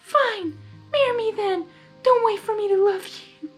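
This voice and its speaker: A woman voicing a stubborn, defiant young female pony held captive, shrill and indignant, in a storybook reading. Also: a little slow, faint music behind. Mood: bitterly indignant.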